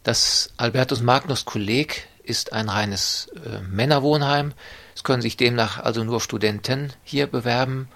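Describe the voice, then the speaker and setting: A man giving measured, formal interview answers with frequent hesitations. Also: mid-range voice, gravelly, fairly bright tone. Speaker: interviewee describing the student residence.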